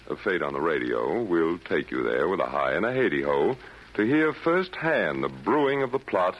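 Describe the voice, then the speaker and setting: A man narrating a scripted 1940s radio broadcast as announcer, formal, measured and theatrical. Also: low voice, dull audio.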